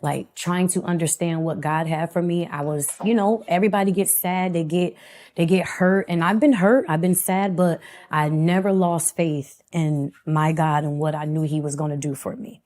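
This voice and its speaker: A woman's voice: fairly low pitch, fairly even intonation, moderate pace, darkish tone. A woman in her thirties speaking as an interview guest at a church, giving earnest, devout personal testimony in a conversational way. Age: 30s.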